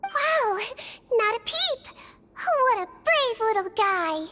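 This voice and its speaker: A very high, sweet little nurse creature, soothing and patient with everyone she treats. Her voice swoops lightly up and down, soft and kindly, as game dialogue with music underneath. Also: very animated, takes it slowly, heavily acted. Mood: gentle. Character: gentle small girl-like nurse creature, caring. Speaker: head nurse. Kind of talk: game voice acting.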